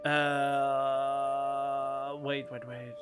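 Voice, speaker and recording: A woman's voice: extremely low pitch, level tone, extremely slow. A woman giving casual let's play commentary, reacting and musing aloud. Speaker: game streamer. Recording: prominent game music behind. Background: video game music.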